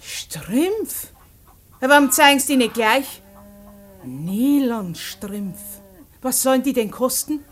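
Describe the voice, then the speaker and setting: High-voiced, blunt Bavarian farm woman. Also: big pitch swings, takes it slowly, long pauses, full character voice. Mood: curious. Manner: blunt, earthy dialect speech. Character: shrewd, suspicious country farm woman haggling over barter. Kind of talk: post-war radio play acting. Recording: noisy radio play with farmyard poultry.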